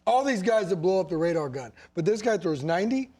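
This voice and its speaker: High-voiced American male sports analyst. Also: expressive, fast, a little mellow. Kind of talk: unscripted panel analysis. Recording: faint music behind the voice. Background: faint music.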